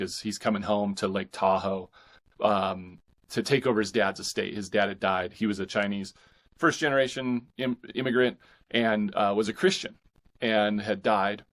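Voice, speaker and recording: Male podcast guest, low voice, halting and conversational, thinking aloud as he talks. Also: steady tone, a bit bright. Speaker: podcast guest, fiction author. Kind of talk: casual interview conversation.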